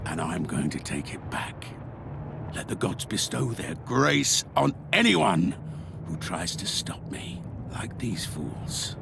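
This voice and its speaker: A man playing a bitter, defiant man bent on vengeance, brooding and gravelly, in a dark fantasy game cutscene. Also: fairly low pitch, background noise, heavily acted. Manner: slow, brooding, menacing delivery.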